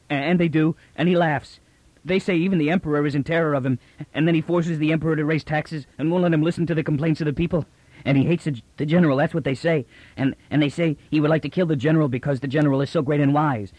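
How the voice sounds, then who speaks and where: Man playing a jumpy farm-boy sergeant, thick rural accent, higher, fast and stammering, dry studio sound. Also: a little mellow, no echo, natural voice. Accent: thick rural accent of the figure. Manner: agitated, rushed, stumbling over words. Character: excited young male peasant-born soldier.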